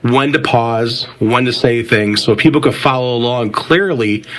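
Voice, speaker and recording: Male panelist, average pitch, sincere and somewhat halting in casual discussion. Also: fairly bright tone, poor audio. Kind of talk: casual opinion in a panel chat.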